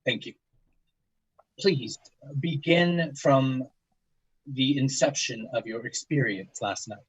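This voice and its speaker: Actor as a husky, clinical male investigator. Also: mid-range voice, dry recording, rough recording. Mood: probing, polite. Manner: clinical, measured, hesitant with pauses. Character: formal, methodical paranormal investigator, male, narrating case tapes. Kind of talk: audio drama, scripted case-file narration and interview.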